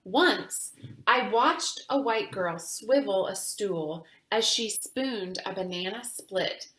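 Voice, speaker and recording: A woman reading a children's book aloud in a deliberate, teacherly way, pausing to speak to young listeners. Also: medium pitch, expressive, fairly bright tone, reverberant. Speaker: reader of children's books for a virtual summer camp. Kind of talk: read-aloud storytelling with asides to children.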